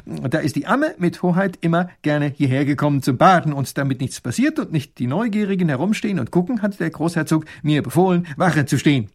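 A man's voice: fairly high pitch, lively intonation, fairly quick, breathy edge, voice pushed up. A man voicing a proud, literal-minded soldier who answers in clipped, dutiful military phrases. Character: eager, literal-minded, proud young male guard.

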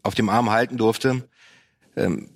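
Male pastor, low-pitched, halting and reflective, panel guest. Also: steady tone. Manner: reflective, halting, with many fillers. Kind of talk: panel discussion on parenting and faith.

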